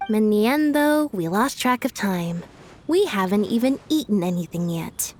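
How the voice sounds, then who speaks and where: A woman's voice: mid-range voice, expressive, moderate pace, darkish tone, soft background music, close to an everyday voice. A woman playing a blunt, fussy young girl in a video game cutscene, complaining as she talks. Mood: grumbling. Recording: clear background noise with clicks.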